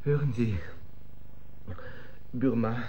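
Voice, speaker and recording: An actor playing a cowering, self-pitying writer who stammers evasively and whines in a radio drama. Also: male voice, medium pitch, fairly even intonation, slow pace, dark tone, lo-fi sound, slight background noise, natural voice. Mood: hesitant, subdued.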